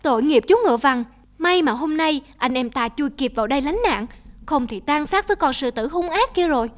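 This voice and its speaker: High-voiced, earnest big-brother antelope. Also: female voice, animated, fairly quick. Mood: admonishing. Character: diligent older brother antelope, earnest, nagging and protective. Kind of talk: cartoon voice acting. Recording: loud music under the voice.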